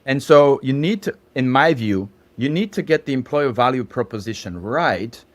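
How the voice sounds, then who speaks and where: A man's voice: medium pitch, expressive, normal speed. A man in his fifties from Slovakia with a Slovak accent, a podcast guest who explains at length with asides. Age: 50s. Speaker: guest, startup founder. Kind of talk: podcast interview.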